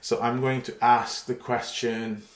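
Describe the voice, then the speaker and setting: Chatty lecturer from Turkey, roomy echo. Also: male voice, medium pitch, a little slow. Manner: relaxed, chatty, many fillers. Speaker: university lecturer. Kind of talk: pre-recorded online lecture. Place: home room. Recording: echoey room.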